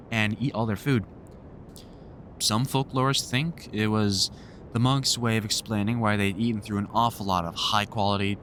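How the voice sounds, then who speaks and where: A man playing a weary, kindly vampire hunter in an audio roleplay, talking to the listener in a halting, informal way with dry humour. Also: on the low side, a bit bright, a little hiss or hum.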